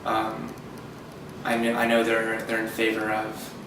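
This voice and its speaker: Hesitant young man, monotone. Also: fairly low pitch, a little slow. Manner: hesitant, repeats words, many "like" fillers. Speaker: interviewee. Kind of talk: casual interview answers. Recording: very echoey room, distant mic, noticeable noise.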